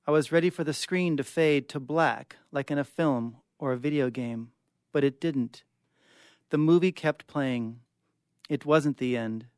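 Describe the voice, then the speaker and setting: Male guest reader for short fiction, dramatic delivery, slightly high voice, dry studio sound. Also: smooth, dry recording. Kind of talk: dramatic short-story reading. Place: radio studio.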